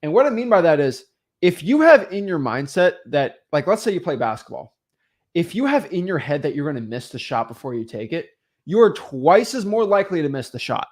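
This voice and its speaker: Young male coach, high-ish voice, very lively pitch, emphatic motivational teaching. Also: brisk. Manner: emphatic, rhetorical questions, frequent "right?" and "okay?". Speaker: online real estate coach.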